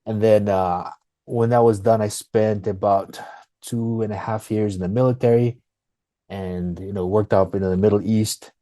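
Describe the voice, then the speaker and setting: Low, mellow Fijian-accented man. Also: steady tone, normal speed, no room sound. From Fiji. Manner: informal, often says "man" and "you know". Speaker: interview guest. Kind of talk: casual conversational storytelling.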